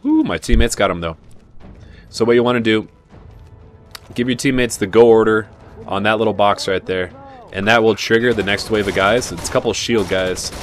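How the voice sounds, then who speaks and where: A man giving relaxed, chatty commentary over a video game playthrough, with frequent asides and fillers. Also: lowish voice, moderate pace. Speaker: game guide narrator. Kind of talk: casual gameplay commentary. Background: game music and gunfire.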